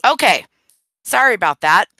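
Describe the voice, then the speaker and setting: A woman's voice: mid-range voice, big pitch swings, moderate pace, bright, forceful tone, breathy edge, dry recording. A woman hosting a live stream in casual chat, talking straight to her viewers while losing her voice. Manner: chatty, addresses viewers directly.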